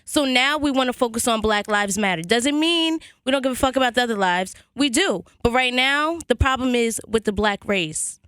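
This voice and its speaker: Woman, radio co-host, firm, smooth clear tone, slightly high voice. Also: animated, normal speed, few pauses, a bit bright, dry recording, studio quality. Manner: firm, outspoken. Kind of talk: radio talk. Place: radio studio. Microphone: studio boom mic.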